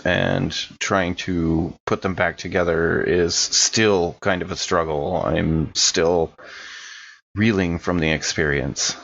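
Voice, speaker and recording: Male player as grieving horror survivor, very low pitch, hesitant, apologetic, audio drama, slightly dull recording. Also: vocal fry, a bit bright, full character voice. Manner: halting, hesitant. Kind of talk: improvised audio drama role-play.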